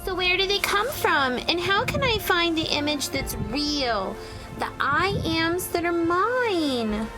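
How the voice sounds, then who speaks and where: A woman's voice: very high voice, sing-song, slow, over music, voice pushed up, full character voice. A woman voicing a lost, confused young child in a children's storybook, earnest, questioning and insistent. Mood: puzzled, questioning. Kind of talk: children's picture book read-aloud.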